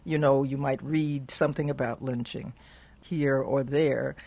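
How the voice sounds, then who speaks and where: A woman's voice: very deep voice, fairly even intonation, measured pace, mellow, a little hiss or hum. A woman talking conversationally as an interviewed cultural consultant.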